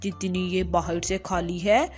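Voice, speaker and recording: A woman's voice: lowish voice, little pitch movement, measured pace, soft background music, voice pushed down, lightly acted. A woman voicing a sly, persuasive male pigeon in a children's cartoon. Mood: sly, persuading.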